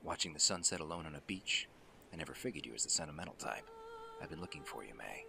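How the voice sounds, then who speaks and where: A man playing a gruff, hardened covert soldier in a radio drama, terse, controlled and pragmatic, with a very deep, gravelly voice. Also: lively intonation, fast, bright, forceful tone, slight background noise, exaggerated voice. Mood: quietly consoling. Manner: terse, hard-edged, controlled.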